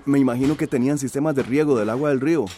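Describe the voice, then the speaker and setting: An actor plays a reflective, concerned countryman in a radio drama. He has a mid-pitched voice, a recurring cough and clear noise around him. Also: fairly quick, natural voice. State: coughing repeatedly. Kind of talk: radio soap acting.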